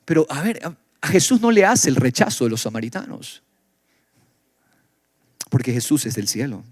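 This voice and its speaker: A man from Ecuador preaching a sermon in Ecuadorian Spanish, moving between loud exclamations and low, quiet stretches. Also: big pitch swings, moderate pace, dry recording. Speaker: pastor. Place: church.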